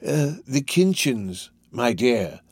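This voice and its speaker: Storybook villain, played by a male narrator: older man, sly fence, slow coaxing delivery. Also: mid-range voice, big pitch swings, darkish tone, slightly rough, no echo, full character voice. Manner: slow, drawn-out, ingratiating.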